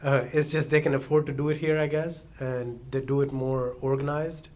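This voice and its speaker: Man, first language Urdu, panel guest; relaxed, laughs between points, mid pitch. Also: normal speed. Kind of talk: panel discussion answer. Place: meeting hall with an audience.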